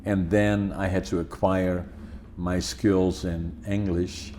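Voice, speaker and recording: An older man with a Dutch accent whose English is his second language, speaking in a low, mellow voice. He pauses often and restarts his phrases as he talks, in an unhurried podcast conversation. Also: little pitch movement, a little hiss or hum. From Netherlands. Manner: halting, many restarts and fillers. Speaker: podcast host. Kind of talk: casual conversation.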